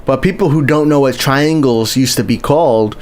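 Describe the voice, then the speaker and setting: A man's voice: mid-range voice, moderate pace, darkish tone. A man live-streaming a game, chatting casually with viewers and often restarting his sentences. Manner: rambling and chatty, lots of restarts, greets chat viewers. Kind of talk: casual live-stream commentary while playing.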